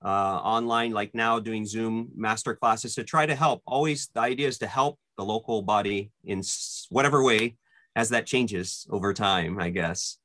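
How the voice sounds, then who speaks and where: A man's voice: lowish voice, normal speed, sharp tone, dry recording. A man in his thirties from Malaysia and the Philippines, telling his story reflectively as an interview guest. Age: 30s. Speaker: interview guest, worship band member. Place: indoors at home, on a video call.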